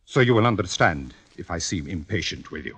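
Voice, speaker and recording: An old fencing maestro in a vintage radio play. His bright, forceful voice swings widely as he presses his point, impatient and emphatic, repeating words. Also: low voice, animated, brisk, husky, full character voice. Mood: halting, confiding. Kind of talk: 1950s radio drama acting.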